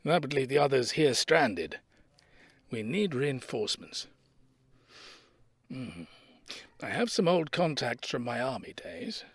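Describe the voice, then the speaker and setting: An actor playing a brisk, self-important retired soldier in an audio comedy, with a husky, emphatic delivery. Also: male voice, mid-range voice, sing-song, a bit bright, no echo, studio quality, exaggerated voice. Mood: keen, grumbling. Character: elderly ex-army man, self-important, brisk, grumbling planner. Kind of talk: audio comedy drama acting.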